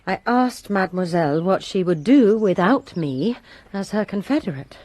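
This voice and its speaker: A woman's voice: mid-range voice, animated, normal speed, a little mellow, no room sound. A woman playing a resentful lady's maid who gives her testimony with bitter emphasis in a radio drama.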